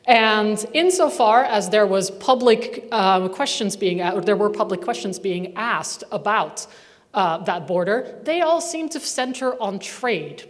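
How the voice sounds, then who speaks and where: A woman's voice: medium pitch, expressive, measured pace. A woman researcher presenting a report at a conference, conversational and wry with asides. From not from Northern Ireland. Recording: reverberant hall sound.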